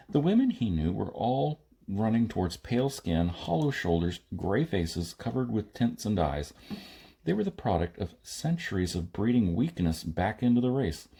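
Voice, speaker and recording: A man's voice: on the low side, warm tone, breathy edge. A man reading a science fiction story aloud as scripted audiobook narration. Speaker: audiobook reader.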